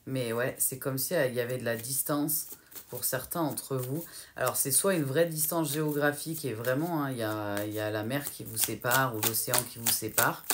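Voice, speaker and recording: A woman's voice: rumbling low, normal speed, noisy background. A woman from France giving a casual, rambling tarot guidance reading and hesitating often as she relays what her guides show her. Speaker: tarot card reader.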